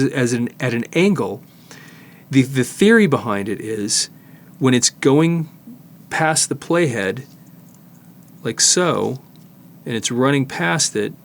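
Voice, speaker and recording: An older man giving a casual hands-on demonstration, explaining haltingly and thinking aloud as he works. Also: medium pitch. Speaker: host, tape digitizing technician. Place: studio, indoors.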